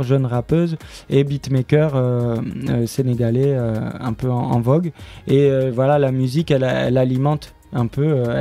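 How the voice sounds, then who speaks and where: A man giving an unscripted radio interview as a guest, explaining his production in a hesitant, halting way. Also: mid-range voice, mellow.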